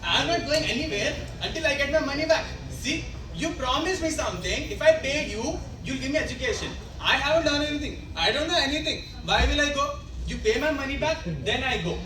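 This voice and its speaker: A brash, stubborn former pupil with an Indian accent, acted by a young woman. The delivery is insistent and slangy amateur stage comedy. It sounds distant and echoey, with clear noise in the hall. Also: high voice, expressive, a little fast, fairly bright tone. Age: young adult. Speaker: student actor in a college play. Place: live college stage, picked up from afar.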